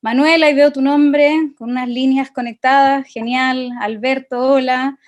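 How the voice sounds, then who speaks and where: Higher-voiced Chilean woman presenting. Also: steady tone, a little slow. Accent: Chilean Spanish. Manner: friendly, chatty, addresses participants by name. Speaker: presenter of an online masterclass. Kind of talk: informal interactive online lecture. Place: video call.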